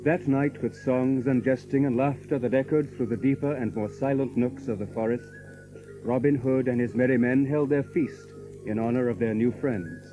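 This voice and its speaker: An actor serving as narrator of a merry old English legend, telling it in measured, literary storybook language. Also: male voice, mid-range voice, lo-fi sound, soft background music, lightly acted. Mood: genial storytelling.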